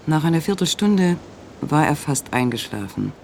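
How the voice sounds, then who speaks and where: A man's voice: fairly high pitch, sing-song, normal speed, vocal fry, darkish tone. A man reading scripted narration for a radio play, on a recording with faint noise.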